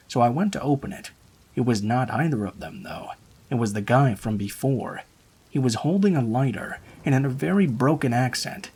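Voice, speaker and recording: Husky-voiced man reading horror stories. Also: medium pitch, darkish tone. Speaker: narrator. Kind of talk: scripted narration of true horror stories.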